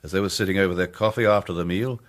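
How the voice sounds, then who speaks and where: Composed English gentleman detective played by a man, lower-pitched, measured and formal, narrating in period radio drama. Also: fairly even intonation, natural voice. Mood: measured, recounting. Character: composed English amateur detective addressing his circle.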